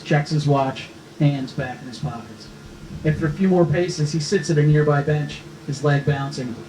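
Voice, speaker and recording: A man's voice: a little high, darkish tone. A man reading the scripted action and stage directions aloud as narrator at a screenplay table read. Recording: echoey room with faint noise.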